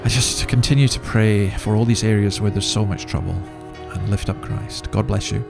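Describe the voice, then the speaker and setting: A man's voice: lowish voice, steady tone, normal speed, creaky, loud music behind the voice. A man hosting a podcast with informal commentary, talking in a conversational, rambling way and correcting himself as he goes. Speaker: podcast host.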